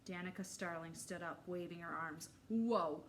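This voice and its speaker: A woman reading a story aloud to young children, narrating expressively and deliberately. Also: on the low side. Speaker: read-aloud storyteller for first graders. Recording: faint background noise.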